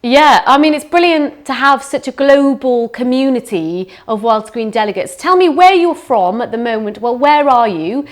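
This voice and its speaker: A woman's voice: high voice, expressive, normal speed, few pauses. Bubbly woman hosting live. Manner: upbeat, bubbly presenter. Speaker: festival host. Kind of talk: live online festival hosting.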